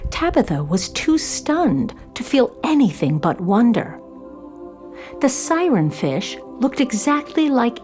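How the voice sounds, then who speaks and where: A woman narrating a scripted children's story in an expressive storytelling manner. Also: a little high.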